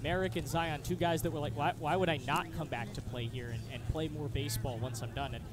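A man's voice: on the high side, fairly even intonation, brisk, a crowd in the background. A man giving conversational baseball color commentary in an informal, explanatory way. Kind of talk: conversational sports analysis.

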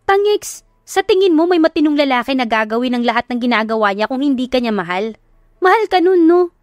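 A woman's voice: sits high, expressive, a little fast, no room sound, clean studio sound, voice pushed up. A woman voicing a sassy, encouraging young female best friend in an audio love story, with slangy, emphatic, teasing pep talk. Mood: teasing exasperation.

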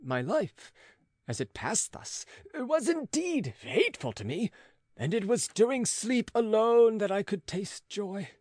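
A man reads a gothic novel dramatically. His high voice has a husky edge and moves slowly, with theatrical pauses and emphasis. The sound is dry. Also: sing-song, darkish tone, no echo. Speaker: audiobook narrator. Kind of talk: dramatic gothic audiobook narration.

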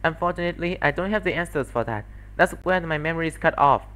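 A man's voice: fairly high pitch, fast talker, fairly bright tone, close to an everyday voice, poor audio. Man voicing an earnest, guilt-tinged female ex-researcher. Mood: regretful. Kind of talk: amateur visual novel fandub.

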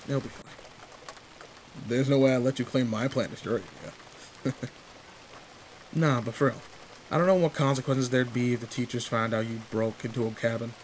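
A man's voice: medium pitch, slight background noise, natural voice, poor audio. A man playing a tall, protective, secretly sweet teenage delinquent in an intimate roleplay audio drama, talking in casual, teasing slang. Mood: reassuring. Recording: steady rain ambience behind voice. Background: rain in a forest.

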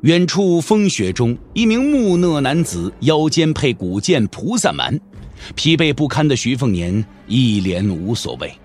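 A man's voice: mid-range voice, very animated, measured pace, a little mellow, a little hiss or hum. A man narrating a Mandarin audio drama as a scripted story, theatrical and intense.

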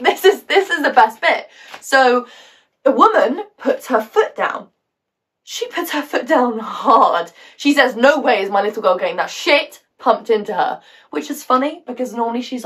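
A woman acting a theatrical monologue with a British accent as a bitter, wounded woman, sardonic, halting and emotionally volatile. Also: medium pitch, recorded from a distance, lightly acted. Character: bitter, wounded woman confiding a painful story.

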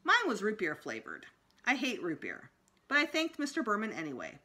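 A woman's voice: medium pitch, huge pitch swings, normal speed, sharp tone. A middle-aged woman reading a children's book aloud expressively as a school storytime reader. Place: small room indoors at home.

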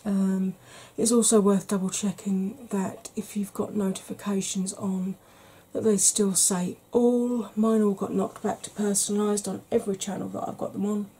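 A middle-aged woman talks in a soft, unhurried ASMR manner, chatting on about all kinds of things. Her voice is mellow and slightly husky, with a relaxed warmth. She sits close to the camera in a small room at home. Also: mid-range voice, rough recording. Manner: gentle, rambling, chatty. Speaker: beauty YouTuber. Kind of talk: soft-spoken ASMR makeup chat.

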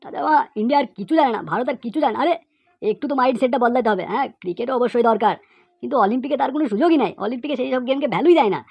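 A woman's voice: a little high, lively intonation, racing, creaky, darkish tone, slightly rough, no room sound, full character voice. A woman voicing an outspoken cartoon pupil, lecturing emphatically with repeated phrases and rhetorical questions. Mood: indignant, preachy. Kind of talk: cartoon comedy monologue.

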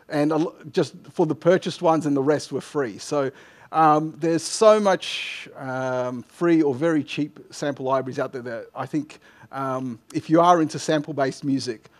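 A middle-aged man giving an informal tech talk and answering questions, self-deprecating and often rephrasing mid-sentence. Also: medium pitch. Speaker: conference speaker. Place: indoor lecture room, on stage at a lectern. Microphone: headset microphone.

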